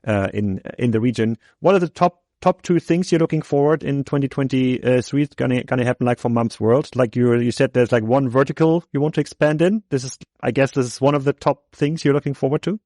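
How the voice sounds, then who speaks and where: A man hosting an interview podcast, speaking English that is not his first language. He talks haltingly, often stopping to search for words. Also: mid-range voice, dark tone, dry recording, clean studio sound. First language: German. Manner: halting, many fillers and restarts, searching for words. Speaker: podcast host.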